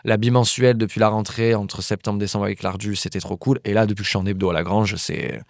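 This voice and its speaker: A man's voice: lowish voice, fairly even intonation, quick pace, gravelly, dry recording, clean studio sound. A man from France, chatting casually as a comedian guest on a podcast. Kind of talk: casual chat.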